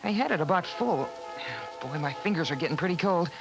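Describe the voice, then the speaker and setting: High-voiced earnest boy, vintage radio. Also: lively intonation, a little fast, gravelly, rough recording. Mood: reflective, narrating. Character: earnest, excitable boy, son in a post-apocalyptic family. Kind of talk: 1950s radio drama acting, with first-person narration. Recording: vintage 1950s radio recording, music behind.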